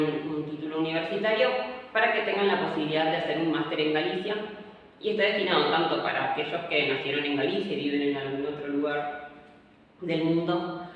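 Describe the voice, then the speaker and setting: A woman's voice: low-pitched, dull audio. A woman, answering interview questions in a hesitant way, with many fillers and restarts. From Argentina. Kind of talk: casual interview talk. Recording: echoey room, far from mic, faint noise.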